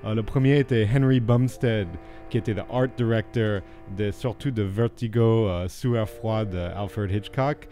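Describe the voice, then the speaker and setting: Mellow male film commentator over soft music. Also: lowish voice, measured pace, hardly any pauses. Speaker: film critic, radio contributor.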